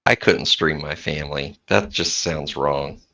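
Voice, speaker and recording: A man chatting casually on a stream, talkative and quick to laugh. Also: a bass voice, vocal fry, pushed and bright. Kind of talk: casual chat.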